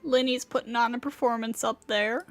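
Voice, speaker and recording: A woman's voice: fairly high pitch, steady tone, normal speed. A woman streaming a game, reacting casually and playfully as she chats along. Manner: playful, often chuckling. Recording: faint game music behind. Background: faint game music.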